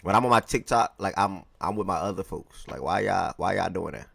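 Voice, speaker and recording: A man with a very low voice hosts a live sports talk stream from home. He rambles in a relaxed, chatty way and greets viewers by name, his voice a touch hoarse. The sound is close and dry on a desk mic. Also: a little fast, dry recording. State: slightly hoarse from yelling the day before. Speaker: live show host.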